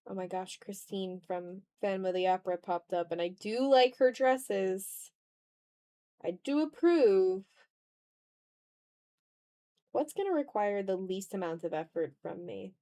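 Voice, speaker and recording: A woman's voice: mid-range voice, a little slow, pauses often, dark tone. Self-deprecating woman chatting on an art stream. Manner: self-deprecating, thinking aloud. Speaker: art livestream host. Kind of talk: casual chat while drawing on a livestream.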